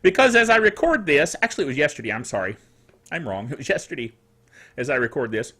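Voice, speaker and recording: A man hosting a casual tech news show in a chatty, jokey, digressive way, with a throat that is getting rough. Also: mid-range voice, sing-song, quick pace, bright, forceful tone. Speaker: technical director for radio stations. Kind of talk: casual scripted tech news commentary with asides.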